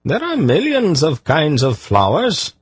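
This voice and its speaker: A man's voice: a little high, huge pitch swings, unhurried, slightly rough, no echo, voice pushed up, full character voice. A man narrating an audiobook voices a cautious, worldly male physician who speaks in a dry, wary murmur. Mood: resigned.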